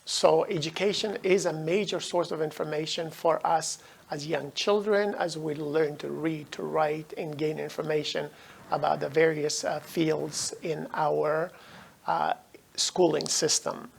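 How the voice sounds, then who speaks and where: A middle-aged man from Afghanistan, a native Dari speaker, giving a measured, explanatory recorded lecture as a professor. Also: fairly high pitch, steady tone, creaky, slight background noise.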